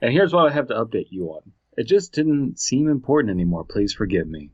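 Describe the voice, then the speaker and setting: A man's voice: medium pitch, very animated, brisk, darkish tone, husky, dry recording, muffled recording. A man casually critiquing on a podcast, blunt and sarcastic, with some swearing. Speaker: podcast panelist. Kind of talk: casual critical discussion and reading aloud.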